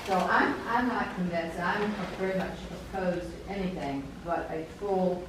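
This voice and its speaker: A woman on the council making forceful remarks at a public meeting, with a big voice. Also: lowish voice, moderate pace, background noise. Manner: forceful, says she has a big voice. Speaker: council member. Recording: echoey hall picked up from far off.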